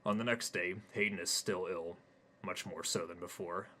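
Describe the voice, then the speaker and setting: A man with a deep yet bright voice narrating his own comic story, flat and matter-of-fact. A faint hiss sits under his reading. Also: normal speed. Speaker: narrator and author.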